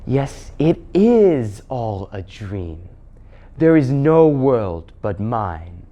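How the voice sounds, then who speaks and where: A man's voice: medium pitch, big pitch swings, drawn-out words, mellow, highs cut off. A man voicing an imperious witch queen who is sweetly menacing and condescending, with haughty archaic phrasing. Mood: coaxing, hypnotic. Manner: archaic, haughty phrasing with coaxing mock pity.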